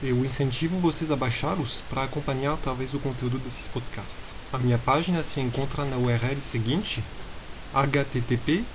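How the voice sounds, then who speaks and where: A male university lecturer with a foreign accent talks through his course in an unhurried, hesitant way, pausing and spelling out details letter by letter. His voice sits at an ordinary middle pitch. Steady hiss runs under the recording. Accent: foreign accent in Portuguese. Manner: hesitant, measured, spells things out slowly. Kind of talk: recorded lecture podcast, improvised explanation.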